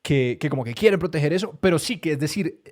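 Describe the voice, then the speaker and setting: Casting: a man, Colombian co-host, slightly higher voice, casual and reflective. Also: animated, fast, a little mellow. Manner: thoughtful, many fillers, hesitant restarts. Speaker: podcast co-host. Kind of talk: casual conversation.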